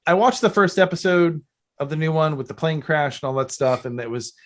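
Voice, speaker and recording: A man's voice: on the high side, fairly quick. A man hosting a podcast, chatting casually in a salty, opinionated and effusive way. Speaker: podcast host. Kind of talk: casual interview chat.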